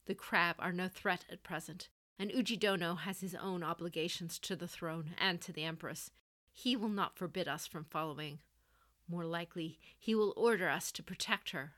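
A woman's voice: fairly low pitch, normal speed, a bit bright, close to an everyday voice. Severe older noblewoman and general, performed by a female narrator, blunt and commanding, fantasy audio story. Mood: firm, resolute.

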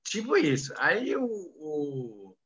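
A man speaking slow, halting, broken Portuguese as a non-native speaker, answering interview questions with many restarts. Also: a little high, huge pitch swings, a bit bright, lo-fi sound. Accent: foreign, non-native Portuguese. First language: not Portuguese. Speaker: interviewee, businessman.

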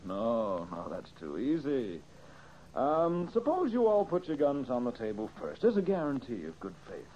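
A man's voice: mid-range voice, huge pitch swings, normal speed, mellow, a little hiss or hum. An actor playing a confident, methodical male detective in an old-time radio mystery, explaining with emphasis. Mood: cagey, bargaining. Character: brisk, confident male detective laying out a case. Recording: muffled old radio recording.

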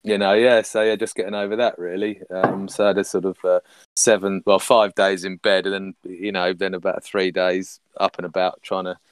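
A man, a podcast guest, chatting casually and rambling with many fillers and restarts, his voice husky from a recent illness. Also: deep voice, level tone, moderate pace, dry recording. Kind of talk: casual chat.

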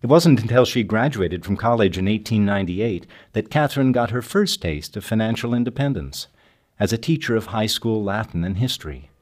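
Lively, fairly low-voiced male documentary narrator. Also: fairly quick. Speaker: narrator and interviewer.

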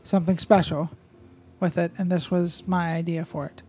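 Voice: medium pitch, flat delivery, normal speed, dark tone, slightly rough. A podcast co-host chatting casually, laid-back and matter-of-fact. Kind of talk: casual chat.

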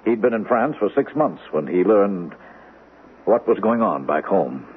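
An actor playing a suave, probing doctor with veiled menace in a radio mystery, speaking in a measured, insinuating way with deliberate pauses. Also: male voice, lowish voice, animated. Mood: grave, veiled. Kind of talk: old-time radio mystery acting. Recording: tinny band-limited vintage radio, faint hiss.

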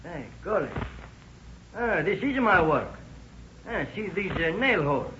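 A genial Italian shoemaker in a vintage radio drama. His voice is bright and high, with puzzled exclamations and halting broken English. It comes through a muffled, hissy old recording. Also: big pitch swings, full character voice. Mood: friendly, puzzled. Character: genial, puzzled immigrant neighborhood cobbler. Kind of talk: 1930s radio drama acting.

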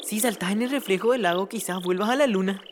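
A woman playing a timid, excitable, kind ten-year-old boy with a Paraguayan accent and hesitant speech in an audio drama. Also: mid-range voice, fast talker, a little hiss or hum, close to an everyday voice. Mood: tentative, wondering. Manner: hesitant, stammering starts, expressive.

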